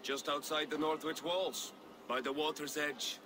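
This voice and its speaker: An actor playing a wry, practical Viking warrior in a video game, with a dry, matter-of-fact delivery. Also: male voice, on the high side, level tone, a little slow, bright, forceful tone, a little hiss or hum. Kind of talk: dramatic video game acting.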